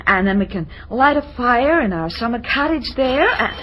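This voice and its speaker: A woman's voice: fairly high pitch, animated, moderate pace, a bit bright, a little hiss or hum. An actress playing a headstrong, gushing young woman of about twenty-one, dramatic and insistent, in an old-time radio drama. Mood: pleading, fond. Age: young adult.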